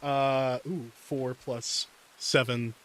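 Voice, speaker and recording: A man's voice: medium pitch, flat delivery, drawn-out words, fairly bright tone. A man playing a tabletop game, casually narrating his character's moves and working through dice checks aloud.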